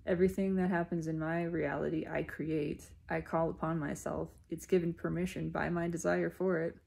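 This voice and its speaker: A woman giving an unscripted, reflective spiritual monologue in deliberate, halting phrases with emphatic repetition. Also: low voice, flat delivery, gravelly, a little mellow. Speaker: speaker sharing personal spiritual reflections.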